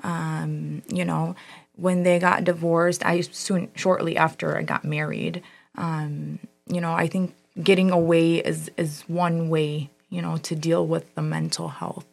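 Woman, from Michigan, guest, gravelly vocal fry, slightly low, hesitant, reflective. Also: level tone, measured pace. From Dearborn, Michigan. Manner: hesitant and reflective, with many ums and restarts. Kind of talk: casual interview conversation.

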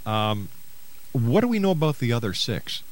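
A man's voice: medium pitch, sing-song, moderate pace, rough recording. A man hosting a radio interview show, addressing listeners and putting questions to a guest.

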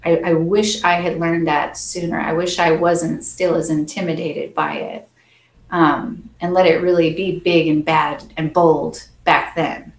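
A soft-spoken woman, a percussionist guest, chatting earnestly and laughing often in an interview. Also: low voice, level tone, unhurried, vocal fry, reverberant, low-quality recording. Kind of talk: casual interview chat.

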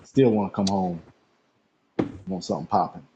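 A man in his forties, chatting casually as a podcast co-host, blunt with quick agreeing remarks. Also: low voice. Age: 40s. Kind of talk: casual chat. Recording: dull lo-fi mic with noise.

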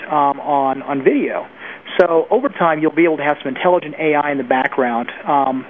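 Guy being interviewed, thin phone sound w/ a bit of noise, casual but opinionated. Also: on the high side. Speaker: interview guest, internet industry professional. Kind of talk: conversational interview answers. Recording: narrow phone-like line with faint noise.

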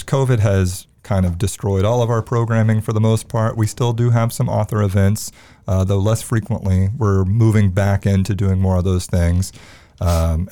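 A man, a bookstore-owner guest, talking casually on a podcast in a relaxed, rambling way. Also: lowish voice, clear, resonant tone, dry recording.

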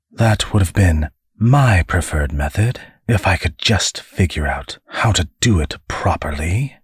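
A man voicing a mocking, bloodstained male demon in an audiobook, with a breathy, sly delivery. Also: sits low, no room sound, clean studio sound, exaggerated voice. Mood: sneering.

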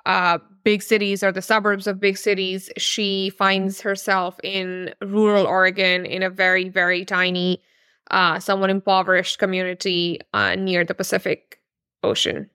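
A woman's voice: medium pitch, flat delivery, measured pace, bright, forceful tone, smooth, no room sound, studio quality. A woman in her thirties from Pakistan, a novelist answering interview questions thoughtfully, with many fillers and restarts. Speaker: novelist guest.

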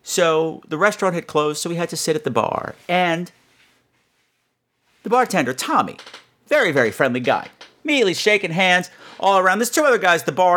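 Campy guy podcast host, highish voice swooping all over, chatty and theatrical. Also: pushed and bright. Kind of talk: chatty comic podcast monologue.

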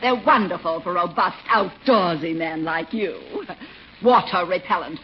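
A woman's voice: lowish voice, unhurried, faint music behind, close to an everyday voice. An actress playing a confident, matter-of-fact saleswoman in a 1940s radio comedy. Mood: brisk, helpful. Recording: muffled, hissy 1940s radio recording.

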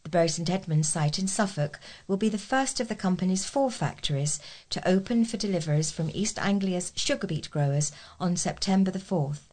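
A woman's voice: fairly low pitch, animated, a little fast, few pauses, a little mellow. A woman reading scripted local news as a volunteer newsreader.

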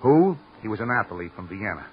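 A man's voice: lowish voice, very animated, fairly quick, slight background noise. A man playing a dapper, chatty little theater manager in an old radio crime drama, effusive and repetitive. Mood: confiding, sour. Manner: effusive, repeats himself, theatrical. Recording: dull old radio recording, faint music.

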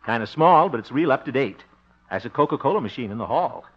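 A man's voice: medium pitch, lively intonation, quick pace, husky. An actor playing a fussy, proud, blustering father in a hearty 1950s radio comedy style. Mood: eager, self-important. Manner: hearty, fussing, blustering, chuckling. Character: blustery, fussy, proud adoptive father, jolly small-town homemaker. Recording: dull, hissy old radio recording.

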